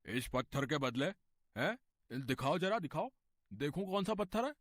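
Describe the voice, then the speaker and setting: Man doing a high-pitched, eager vegetable seller. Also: big pitch swings, moderate pace, no echo, clean studio sound. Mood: eager, bargaining. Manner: folksy, haggling. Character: eager bargaining male village vegetable seller.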